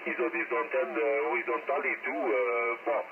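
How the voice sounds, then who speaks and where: A man from Luxembourg chatting casually as a radio operator, speaking haltingly over a two-way radio. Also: mid-range voice, steady tone. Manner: hesitant, halting delivery with searching pauses. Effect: two-way radio transmission. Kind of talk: casual radio contact chat. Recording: tinny narrowband radio signal, clear static noise.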